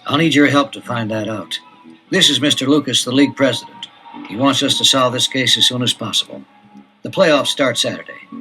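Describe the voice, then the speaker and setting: An actor playing a calm, authoritative middle-aged detective father in a 1970s children's audio adventure, speaking deliberately. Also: mid-range voice, faint music behind, natural voice, rough recording. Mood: serious. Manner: deliberate, measured, instructive.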